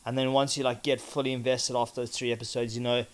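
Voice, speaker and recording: A man co-hosting a podcast, relaxed and agreeable, swearing casually. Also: medium pitch, fast talker. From South Africa. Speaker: podcast co-host.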